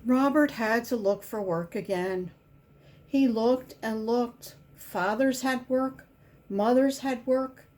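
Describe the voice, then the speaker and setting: Woman, high voice, very unhurried pace, expressive children's read-aloud, deliberate emphasis on key words. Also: slight background noise. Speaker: volunteer children's book reader.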